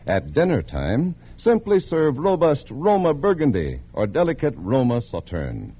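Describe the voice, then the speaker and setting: A male radio announcer sells with polished emphasis and big swings in pitch. The style is 1940s commercial, on a dull old recording. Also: huge pitch swings, unhurried, slightly rough. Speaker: sponsor's announcer.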